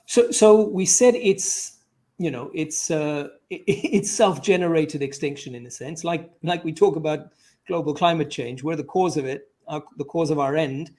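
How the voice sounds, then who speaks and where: A man's voice: a little high, normal speed, warm tone. A man interviewing a guest conversationally, restating points and probing them.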